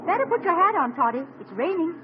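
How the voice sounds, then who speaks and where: An actress playing an affectionate young wife and former actress from England, who speaks warmly and gently in 1950s radio drama style, on an old broadcast recording. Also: female voice, extremely high pitch, big pitch swings, fast, highs cut off, noisy background. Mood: tender. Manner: warm, gentle, refined.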